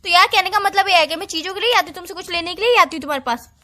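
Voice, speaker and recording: Woman playing young girlfriend in phone-call skit: very high, rapid, whiny, stubborn, pleading. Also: bright, forceful tone. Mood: sulky. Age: young adult. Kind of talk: acted casual phone argument.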